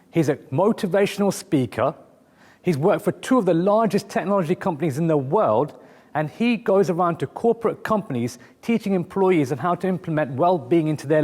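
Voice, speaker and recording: A man presents and interviews as the host of a talk show. His voice sits a little high for a man, and it rings in a reverberant space with a long echo. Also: a little mellow.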